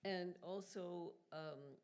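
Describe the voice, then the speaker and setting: A woman in the audience asking the artist halting, curious questions about materials. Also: fairly low pitch, darkish tone. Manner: inquisitive, halting.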